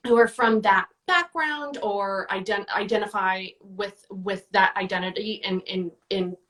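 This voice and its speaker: A woman's voice: medium pitch, unhurried, fairly bright tone, far from the mic. A woman answering interview questions in a casual, chatty way, with many fillers and restarts. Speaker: guest, book reviewer.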